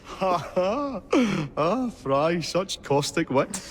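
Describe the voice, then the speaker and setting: A man playing a courteous, bumbling, excitable Victorian inventor in a video game, with a stammering, effusive manner. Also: high-pitched, very slow, a little hiss or hum, exaggerated voice. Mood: cheerful, bashful. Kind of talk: video game cutscene acting.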